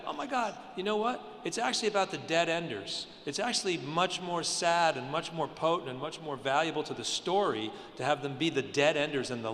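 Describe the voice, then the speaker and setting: A man, a writer on a convention panel, talking excitedly and repeating phrases as he thinks aloud. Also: mid-range voice. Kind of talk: panel discussion. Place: large convention hall stage. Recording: echoey hall, faint hum.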